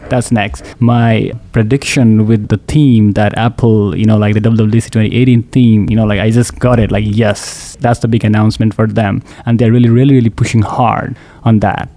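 A man's voice: lowish voice, level tone, normal speed, few pauses, warm tone. A man from Nepal, a guest developer, chatting in an interview and tagging sentences with "right?" and "like". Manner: leans on "right?" and "like". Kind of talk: casual interview chat. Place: conference venue.

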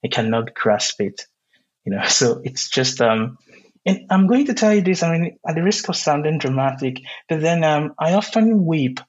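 Nigerian male poet, Igbo native speaker, slightly husky, halting and thoughtful, dull lo-fi sound. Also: a little high, lively intonation. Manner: halting, many "um"s, searching for words. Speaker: poet, interview guest. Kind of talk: reflective interview conversation.

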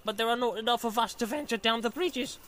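A man's voice: extremely high pitch, fast talker, a bit bright, slight background noise, pitched above the performer's own voice. A man voicing a greedy, cunning male orc grunt. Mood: scheming. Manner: grasping, cunning.

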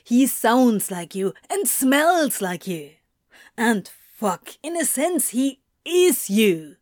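A woman's voice: mid-range voice, expressive, slow pace, dry recording. Woman acting a defensive supernatural male, muttering, snappish, evasive, foul-mouthed, storybook character, close dry recording. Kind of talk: fan fiction audiobook character voice.

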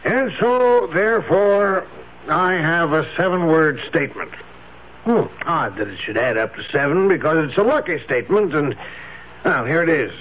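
A man's voice: fairly high pitch, lively intonation, moderate pace, natural voice. An actor playing a genial, proud old wealthy father-in-law, jovial and ceremonious, in a 1970s radio drama. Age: older. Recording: dull lo-fi 1970s radio recording with hiss.

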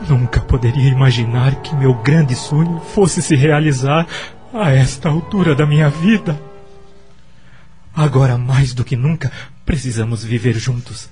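A man's voice: medium pitch, warm tone, close to an everyday voice. Man acting a devoted, earnest Brazilian husband. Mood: joyful, tender. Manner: earnest, tender, measured. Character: kind, devout, devoted middle-aged husband and expectant father. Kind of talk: Brazilian radio soap opera acting. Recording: music under the voice.

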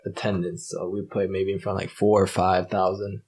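A man in his twenties, a professional soccer player, chatting casually as a guest, relaxed and swearing freely. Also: low voice, brisk, husky. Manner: relaxed, swearing, animated when retelling. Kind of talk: casual chat.

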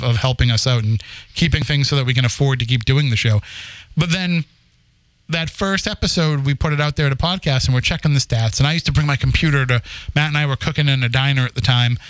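Chatty man with a smooth clear voice. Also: medium pitch, a bit bright, dry recording. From Boston area. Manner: storytelling, chatty with frequent restarts and laughs. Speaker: podcast host. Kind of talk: casual chat.

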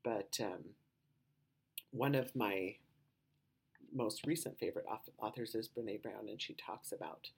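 A woman with a low voice hosting a podcast, talking in a rambling, casual way with lots of "you know" and "um" asides. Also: measured pace, vocal fry, pauses often, breathy edge. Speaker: podcast host.

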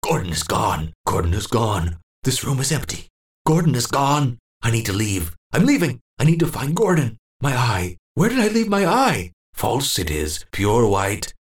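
A man's voice: low-pitched, unhurried, few pauses, voice pushed up. A man voicing a frightened, confused old man in a horror audiobook, muttering and repeating himself in first person. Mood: frantic. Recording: roomy, distant echo.

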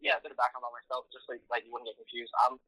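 Fast-talking young man with a bright voice. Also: mid-range voice, little pitch movement, slightly rough, dry recording, poor audio. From Morocco. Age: young adult. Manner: casual, many "like" and "um" fillers. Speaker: student activist guest. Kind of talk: interview answers.

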